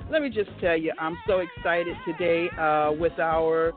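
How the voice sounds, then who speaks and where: A woman's voice: fairly low pitch, unhurried. An American woman hosting an online talk show in an upbeat, chatty, devout and exuberant way. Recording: band-limited phone-like line, music behind.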